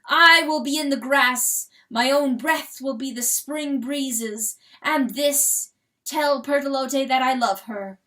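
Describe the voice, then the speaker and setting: A woman's voice: sits high, takes it slowly, sharp tone, voice pushed up, heavily acted. A woman voicing a noble male rooster lord who speaks in ringing, declamatory tones. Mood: solemn farewell. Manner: ringing, declamatory crowing speech.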